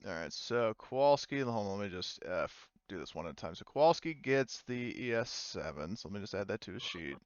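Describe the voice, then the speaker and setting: Man, game master, low smooth voice, halting improvised explanations, slightly dull close recording. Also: big pitch swings, dry recording. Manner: halting, thinking aloud. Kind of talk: casual improvised tabletop game narration.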